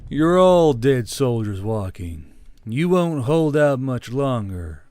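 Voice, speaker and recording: A man's voice: medium pitch, very animated, slow, dark tone, no room sound, studio quality. Man as a weary, grim troop commander. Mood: menacing.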